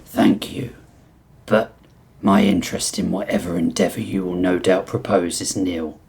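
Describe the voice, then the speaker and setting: Man voicing a deep, formal, bookish reptile prisoner. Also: steady tone, moderate pace, creaky, a little mellow. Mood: cold restraint. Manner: formal, wordy, politely disdainful. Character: huge cultured reptile inmate, formal, restrained, bookish.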